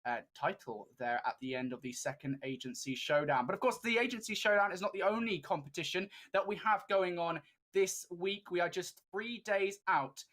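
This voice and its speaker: A man's voice: high voice, steady tone, normal speed, fairly bright tone. A man casting an esports tournament in a chatty, upbeat way, with many fillers. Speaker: esports caster. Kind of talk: esports commentary.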